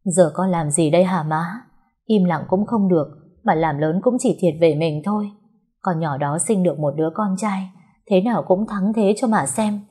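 Woman playing young wife, husky, whining, resentful, aggrieved complaints, dramatic storybook. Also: fairly low pitch, moderate pace, mellow. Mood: aggrieved, sulky.

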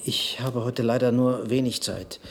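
An actor playing a polite, evasive husband in radio play dialogue. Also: male voice, medium pitch, steady tone, a little slow. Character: polite, evasive husband excusing himself from friends.

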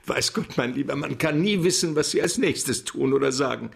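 An actor playing a genial, calculating crime boss in a radio drama, speaking courteously and deliberately with hesitant hems. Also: male voice, medium pitch, animated, vocal fry, husky, full character voice. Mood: amused, smooth.

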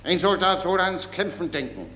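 A man's voice: sits high, animated, moderate pace, sharp tone, exaggerated voice. An actor in a German radio drama playing a naive, dutiful soldier in his fifties, guileless, deferential and stubbornly literal. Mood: eagerly dutiful. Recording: echoey hall with background noise.

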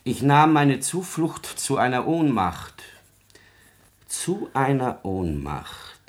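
An actor playing a cold, calculating secretary, measured and insinuating, in a classical radio drama. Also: male voice, mid-range voice, big pitch swings. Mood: cold dictating. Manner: measured, insinuating, falsely kind. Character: cold, scheming male secretary, oily and calculating.

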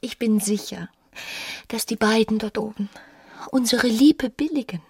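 An actress playing a passionate, clinging young married woman in a literary radio drama, speaking in an intimate, breathy, urgent way. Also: fairly high pitch, unhurried, no echo, studio quality. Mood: sentimental.

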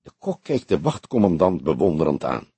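A man reads story narration for an audiobook. His voice is husky, with lively movement in pitch, and the recording is dry and free of room sound. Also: normal speed, no room sound.

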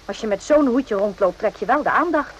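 An actress playing a composed, quick-witted woman on military staff in a radio play, crisp and matter-of-fact. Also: on the high side, expressive, highs cut off. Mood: wry. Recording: noisy old radio play recording.

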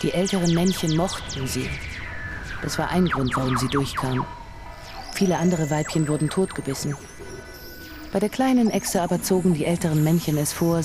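A woman's voice: sits low, lightly acted. A woman narrating a radio drama as a composed storyteller, reading scripted literary prose. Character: composed female storyteller of a science-fiction saga.

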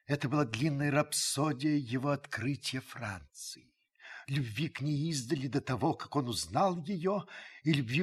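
An actor playing a reflective older French uncle, narrating a radio drama in a husky, measured, literary manner. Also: male voice, mid-range voice, fairly bright tone, exaggerated voice. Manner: measured, pensive, literary delivery.

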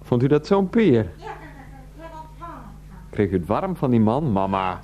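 An actor playing a henpecked grown son in a radio play, placating and halting as he talks to his mother. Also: mid-range voice, sing-song, mellow, lo-fi sound, slight background noise. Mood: indignant, deferential.

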